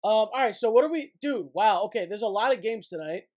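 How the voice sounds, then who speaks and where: A man's voice: high voice, expressive, fairly quick, a little mellow. Blunt, swearing young male livestreamer. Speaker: livestream host. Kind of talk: casual livestream chat. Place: small dim gaming room at home.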